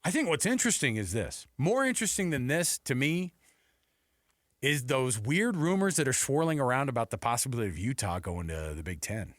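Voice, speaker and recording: American guy hosting sports radio, chatty and thinking out loud, really dry studio sound. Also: medium pitch, big pitch swings, brisk, no room sound. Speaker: sports talk show host. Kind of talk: casual sports debate.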